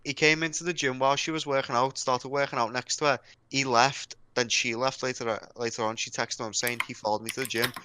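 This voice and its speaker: A man's voice: mid-range voice, steady tone, fairly quick, bright, forceful tone, clear, resonant tone, dry recording. A man in casual group banter on an online voice call, cocky and swearing freely. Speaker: podcast co-host.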